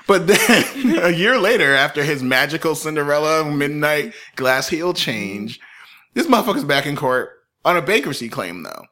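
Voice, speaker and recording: A man's voice: fairly high pitch, sing-song, normal speed, sharp tone. A male podcast host telling a story in casual chat, joking and laughing often.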